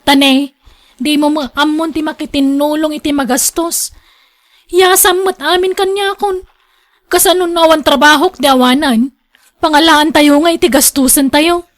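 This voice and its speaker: Woman playing thrifty scolding wife, high voice, Ilocano Filipino accent, exasperated, radio drama. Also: steady tone, moderate pace, close to an everyday voice. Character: thrifty, nagging wife and mother, practical and exasperated.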